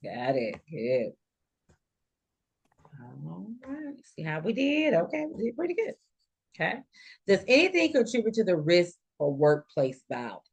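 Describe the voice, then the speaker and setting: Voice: mid-range voice, wildly swooping pitch, unhurried, creaky, pauses often, breathy edge. Nursing instructor of unclear sex, middle-register voice, lecturing a live class informally and explaining with everyday examples. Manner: explanatory, illustrates points with quoted examples.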